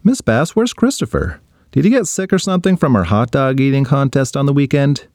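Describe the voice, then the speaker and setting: Man plays a jealous young boy in a kids' storybook: high, bouncy and pleading. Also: brisk, dry recording, studio quality, natural voice. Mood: excited. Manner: excited, pleading, whiny.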